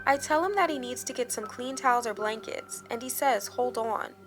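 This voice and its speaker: A woman narrating a scripted dramatic first-person story in a measured, expressive storytelling manner. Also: sits high. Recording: background music under the voice. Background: music.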